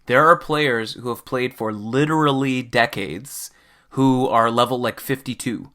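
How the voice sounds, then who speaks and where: Bright-voiced man hosting a casual podcast. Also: medium pitch, unhurried. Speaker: podcast host.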